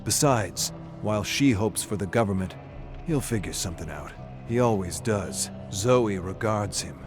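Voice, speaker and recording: A man's voice: fairly low pitch, animated, moderate pace, lightly acted. A man playing a grizzled, cynical older war veteran, narrating a dramatic story in folksy tough-guy slang. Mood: weary, reflective. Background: loud music.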